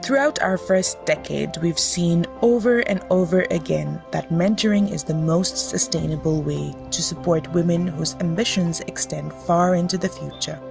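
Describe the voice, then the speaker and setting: Promo video narrator, gravelly voice, measured read, music playing under it. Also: medium pitch. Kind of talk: scripted narration. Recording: voice-over with music bed.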